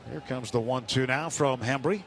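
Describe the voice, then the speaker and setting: A male baseball play-by-play announcer with a mid-range voice. He narrates the game live for radio from a stadium booth. There is clear outdoor background noise throughout. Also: medium pitch, moderate pace, a bit bright.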